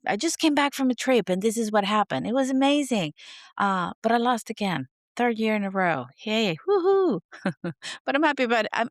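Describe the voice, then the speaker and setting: A raspy, hoarse woman with a sore throat hosting her podcast in an unscripted, rambling chat, laughing at herself. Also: medium pitch, no echo, clean studio sound. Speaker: podcast host.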